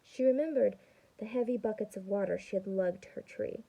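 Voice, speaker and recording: A young adult woman reading a storybook aloud to children as a student, expressive and pausing to explain things. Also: medium pitch, gravelly, slightly rough, poor audio. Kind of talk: storybook read-aloud with teaching asides.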